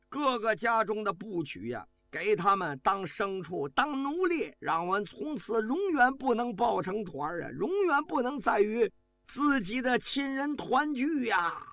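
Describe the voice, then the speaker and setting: Male storyteller doing a passionate officer haranguing the ranks; high, animated, dry. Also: dry recording, exaggerated voice. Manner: fiery, pleading, shouting rhetoric. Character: impassioned male army officer rousing troops.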